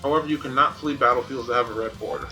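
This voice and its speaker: A man's voice: mid-range voice, rapid-fire, music in the background. A man doing casual gameplay commentary as a streamer, thinking aloud and explaining things to himself. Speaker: video game streamer.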